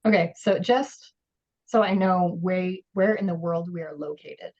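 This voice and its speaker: A woman's voice: fairly low pitch, unhurried, a little mellow. A woman playing a tabletop game, talking casually and asking questions over a muffled online call.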